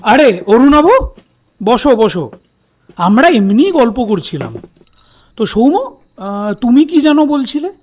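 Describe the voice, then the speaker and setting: An actor playing an older retired detective in an audio drama, polite and inquisitive. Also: male voice, extremely high pitch, sing-song, takes it slowly, pauses often, darkish tone, a little hiss or hum, full character voice. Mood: welcoming. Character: courteous, probing older retired detective, a father.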